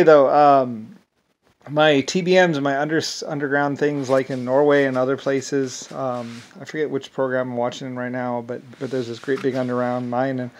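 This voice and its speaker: A man with an Irish accent talking in a rambling monologue, halting often with restarts and fillers. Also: mid-range voice. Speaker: self-described inventor.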